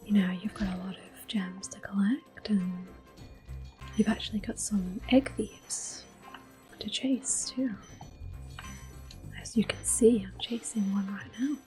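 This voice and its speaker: A woman giving gentle, unhurried ASMR gameplay commentary in a soft, breathy whisper as she plays. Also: mid-range voice, steady tone, rough recording. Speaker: gameplay streamer. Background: video game music.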